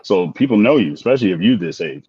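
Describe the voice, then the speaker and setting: A man's voice: sits low, animated, a little mellow, slightly rough, no room sound, highs cut off. An older man with grandkids, chatting casually on a podcast and laughing often between points. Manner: fast rambling with frequent laughs. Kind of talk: casual chat.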